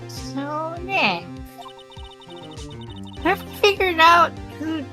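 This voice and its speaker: A woman's voice: very high-pitched, animated, very slow, bright, forceful tone, faint music behind, full character voice. A woman voicing a perky, sweet young female assistant in a fan-voiced visual novel game. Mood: perky, curious.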